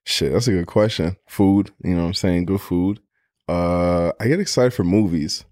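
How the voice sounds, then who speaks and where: A laid-back man talks slang on a podcast in a very low voice. The sound is close and dry. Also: moderate pace, darkish tone, dry recording. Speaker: podcast co-host. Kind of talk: casual chat.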